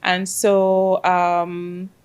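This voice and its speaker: Woman, from the Caribbean, Spanish first language, lower voice, chatty with um pauses. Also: monotone, a little mellow, clear, resonant tone. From Caribbean, grew up in Grenada. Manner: chatty storyteller, frequent "um" pauses. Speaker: guest, fintech project manager. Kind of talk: casual interview chat.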